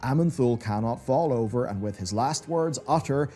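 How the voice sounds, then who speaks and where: A man's voice: medium pitch, lively intonation, normal speed, warm tone, soft background music. A man delivering scripted commentary in the style of a video essay.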